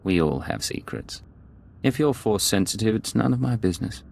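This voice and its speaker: An actor playing a cool, self-assured secret agent in a video game, clipped and composed. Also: male voice, fairly low pitch, expressive, moderate pace, vocal fry, darkish tone. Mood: composed, discreet.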